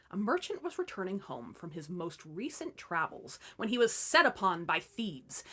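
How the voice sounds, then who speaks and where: Woman, comic storyteller, bright voice, very lively pitch, wry, irreverent. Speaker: host and storyteller. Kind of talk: comic scripted fairy tale narration.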